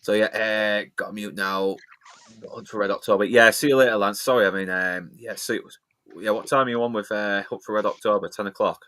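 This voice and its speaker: A man's voice: lowish voice, fairly even intonation, brisk, a bit bright, dry recording. Blunt, sweary Scottish man. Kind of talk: casual movie watch-along commentary.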